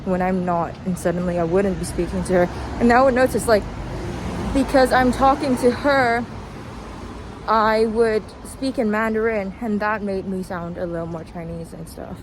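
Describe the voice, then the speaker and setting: A woman's voice: medium pitch, steady tone, moderate pace. A young woman chatting casually as she walks, self-deprecating, wandering off on tangents and trailing off. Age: young adult. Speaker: vlogger. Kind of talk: casual rambling vlog while walking. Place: outdoors on a walk in a city. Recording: noisy outdoor recording with traffic.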